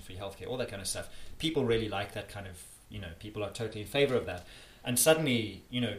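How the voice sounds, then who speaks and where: A man's voice: on the low side, a bit bright. A man with a South African accent, answering interview questions earnestly and emphatically, often restarting his sentences. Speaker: interviewee, campaigner. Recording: echoey room with faint noise.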